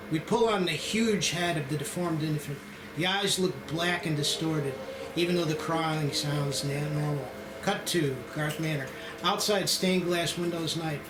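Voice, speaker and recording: A man's voice: fairly high pitch, noisy background. A man narrating a screenplay at a table read with a dramatic storytelling delivery. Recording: echoey room with background music.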